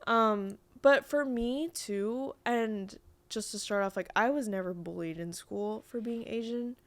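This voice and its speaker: Young woman with a creaky voice. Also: fairly high pitch, measured pace, no room sound. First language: Vietnamese. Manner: chatty, many fillers and restarts. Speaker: podcast guest. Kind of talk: casual chat.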